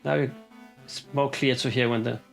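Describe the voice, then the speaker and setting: A man's voice: medium pitch, fairly even intonation, normal speed, vocal fry. A man walking through a modular synth patch, explaining casually with frequent fillers and restarts. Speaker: synth demonstrator. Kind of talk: hands-on synth demo. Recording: synth music playing behind. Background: synthesizer music.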